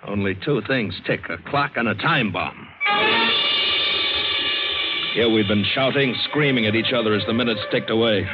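An actor playing a hard-boiled, cynical adventurer who narrates an old radio drama, terse and bitter. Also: male voice, on the low side, moderate pace, pauses often, natural voice. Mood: tense, suspenseful. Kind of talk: 1940s-50s radio drama narration and acting. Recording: dull old radio broadcast with music.

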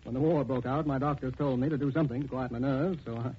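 A man's voice: mid-range voice, steady tone, quick pace, a little hiss or hum, close to an everyday voice. An actor playing an anxious, indignant, well-to-do middle-aged father, agitated and emphatic, in a 1940s radio drama. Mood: strained, polite. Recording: dull 1940s radio recording.

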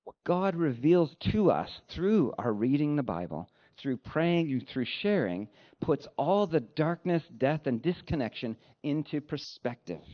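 A man delivering a sermon as a pastor, speaking in an earnest, conversational way with deliberate phrasing. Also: a little high, sing-song. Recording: dull, lo-fi sound.

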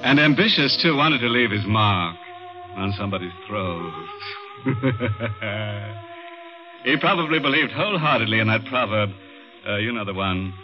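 An actor playing a ghoulish, tongue-in-cheek host of a 1940s radio mystery, sardonic and given to drawn-out puns. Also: male voice, lowish voice, lively intonation. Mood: macabre glee. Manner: sardonic, drawn-out punchlines, chuckling. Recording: dull old radio recording, faint music.

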